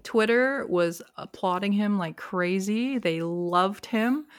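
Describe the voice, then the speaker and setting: A woman co-hosting a casual hockey podcast, talking from a fan's view, laughing often and getting excited about plays. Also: mid-range voice, takes it slowly, a little hiss or hum. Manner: enthusiastic, laughs often, fan perspective. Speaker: podcast co-host. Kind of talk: casual sports podcast chat.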